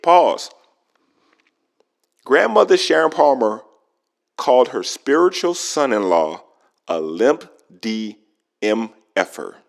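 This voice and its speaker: A man's voice: mid-range voice, vocal fry. A man giving opinionated commentary in an emphatic way, asking rhetorical questions and drawing out words for effect. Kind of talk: opinionated gossip commentary to camera.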